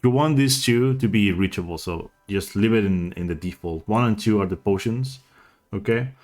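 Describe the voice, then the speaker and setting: Low-voiced man, halting tutorial talk. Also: a little mellow. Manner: hesitant, halting, often trailing off. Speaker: speedrunner giving a game tutorial. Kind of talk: casual unscripted tutorial narration.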